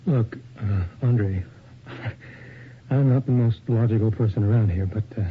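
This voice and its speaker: A man's voice: on the low side, unhurried, husky. A man playing an earnest, anxious, informal photographer's assistant in a radio drama. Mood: uneasy, insistent. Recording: thin phone-like 1980s radio recording.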